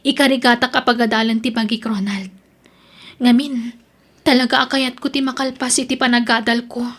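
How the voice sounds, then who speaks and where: A woman's voice: on the high side, fairly even intonation, moderate pace, fairly bright tone. A woman with an Ilocano accent playing a proud, determined young student in an audio drama. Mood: earnest, resolute. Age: young adult.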